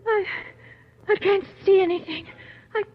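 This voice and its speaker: Anxious high-voiced young woman. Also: fairly even intonation, measured pace. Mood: frightened, dazed. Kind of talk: old-time radio drama acting. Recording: dull, noisy old radio recording.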